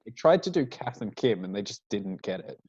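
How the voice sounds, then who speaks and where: A man's voice: fairly low pitch, big pitch swings, a little fast, darkish tone, slight background noise. A young Australian man from Victoria in casual podcast banter, rambling, repeating himself and swearing often. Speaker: podcast co-host. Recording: slightly dull lo-fi recording.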